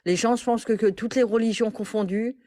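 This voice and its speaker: A woman's voice: on the high side, steady tone, a little fast, warm tone, no room sound. A woman calling in to a live stream, answering hesitantly with many restarts. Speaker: caller. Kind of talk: live call-in chat.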